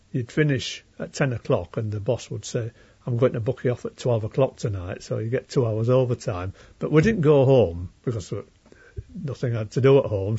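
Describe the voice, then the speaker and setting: A man's voice: medium pitch, mellow, slightly rough, no room sound. An elderly man from Leeds with a Yorkshire accent, reminiscing in an unscripted, plain-spoken interview. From Leeds, England. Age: elderly, around 80s. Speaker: interviewee, retired railway worker. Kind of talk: unscripted oral history reminiscence. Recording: dull lo-fi interview recording.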